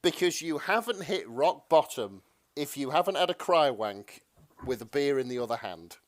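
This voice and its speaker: A man with a mid-range, very animated voice, recorded dry. He chats and jokes casually as a podcast co-host. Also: mid-range voice, moderate pace, dry recording. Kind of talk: casual joking chat.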